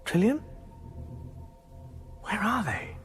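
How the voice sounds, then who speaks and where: A polite, anxious English everyman in a sci-fi radio comedy. He sounds querulous and puzzled, in a fairly high voice with very wide swings of pitch. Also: huge pitch swings, extremely slow, fairly bright tone, soft background music, exaggerated voice. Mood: puzzled, uneasy.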